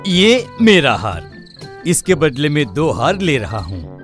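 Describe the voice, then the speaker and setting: A man's voice: on the high side, very animated, fairly bright tone, soft background music, heavily acted. A man playing a sly, greedy fake swami in a cartoon moral story, speaking in grand, theatrical pronouncements. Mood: pleased, scheming. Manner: slow, theatrical, pompous pronouncements.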